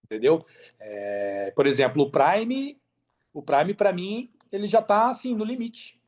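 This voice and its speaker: A Brazilian man with a slightly high voice talks as a guest on a podcast. His delivery is casual and emphatic, full of slang and repeated phrases for stress. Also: a little slow. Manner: emphatic, repeats phrases, slangy, animated storytelling with acted-out quotes. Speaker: podcast guest, affiliate marketer.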